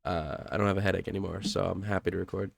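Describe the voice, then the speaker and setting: A man's voice: low-pitched, fairly even intonation, rapid-fire, gravelly, no echo. A young man co-hosting a podcast, chatting casually with a stuffy, congested nose and rambling, self-correcting delivery. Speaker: podcast co-host.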